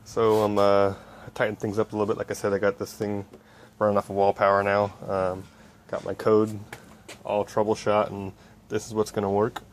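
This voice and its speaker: Lowish guy, pretty monotone, casually walking thru his diy project, noisy homemade recording. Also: moderate pace. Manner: informal, explains step by step while working. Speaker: electronics hobbyist. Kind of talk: casual hands-on project update.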